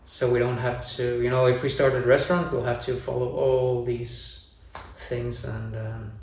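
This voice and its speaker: Gravelly man in his forties, echoey room. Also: medium pitch, flat delivery, moderate pace, a little mellow, distant mic. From Denmark. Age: 40s. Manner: plain, thinks aloud with restarts. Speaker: family vlogger. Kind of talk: casual chat.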